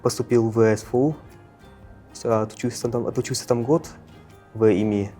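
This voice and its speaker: Mellow-voiced teenage male student. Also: mid-range voice, fairly even intonation. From Russia, Yakutia. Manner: halting, self-correcting. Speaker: first-year university student. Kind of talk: casual interview. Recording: faint music behind the voice. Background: faint background music.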